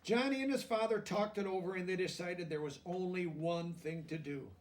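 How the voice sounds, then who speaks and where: A man with a noticeably high voice reads a children's storybook aloud. He goes deliberately through the text and explains it in simple, plain words. The recording sounds distant, as if he is well away from the microphone. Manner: deliberate reading broken by softer, plain-worded explanations. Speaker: storybook reader. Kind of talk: children's picture book read-aloud with simple explanatory asides.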